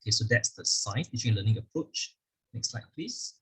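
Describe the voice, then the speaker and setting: A low-voiced man with a Singaporean English accent walks an online audience through a school curriculum. His voice is very husky and breathy. He explains step by step, filling pauses with "uh" and "actually". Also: animated. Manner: explanatory, leans on "actually" and fillers. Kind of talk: virtual curriculum presentation with slides. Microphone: video call microphone.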